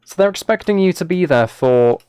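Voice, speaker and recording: A man's voice: high voice, lively intonation, fairly quick, darkish tone, clean tone, no echo. A man chatting casually on a live game stream, thinking aloud as he plays and replying to viewers.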